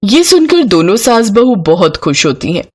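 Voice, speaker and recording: A woman storyteller reading a scripted bedtime moral tale in an expressive narrating style. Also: mid-range voice, normal speed, slightly rough.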